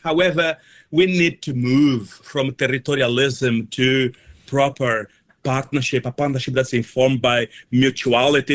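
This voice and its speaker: Formal, measured man in interview. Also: mid-range voice, fairly bright tone. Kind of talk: formal radio interview answers.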